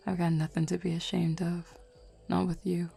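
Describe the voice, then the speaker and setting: Woman voicing a low, gravelly, flirty female werewolf. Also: flat delivery, dark tone, full character voice. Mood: flirty, confident. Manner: flirtatious, unhurried teasing. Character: flirty, teasing, self-assured female werewolf of a pack. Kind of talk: intimate roleplay audio acting.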